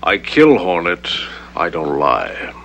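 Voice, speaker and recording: An actor playing a ruthless, irritable gangster boss in a 1960s TV crime drama, shrewd and distrustful, with a curt, commanding way of talking. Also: male voice, low-pitched, huge pitch swings, takes it slowly, gravelly, a bit bright, slight background noise, full character voice. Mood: wary, cold.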